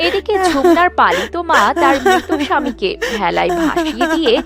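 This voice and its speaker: A woman's voice: high-pitched, sing-song, moderate pace, a bit bright, faint music behind. A woman narrating a children's cartoon in a scripted storytelling style.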